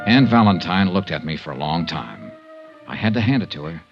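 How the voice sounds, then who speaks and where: A muffled old radio recording with music behind it features a cool insurance investigator. His voice is on the low side, dry and persistent, in classic 1950s detective drama style. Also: very animated, brisk, gravelly, exaggerated voice. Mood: dry narration. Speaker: lead character and narrator.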